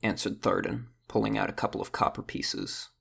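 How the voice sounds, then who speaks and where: A man with a gravelly, husky voice reading scripted narration for a fantasy audio drama. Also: fairly low pitch, fairly even intonation, moderate pace, fairly bright tone.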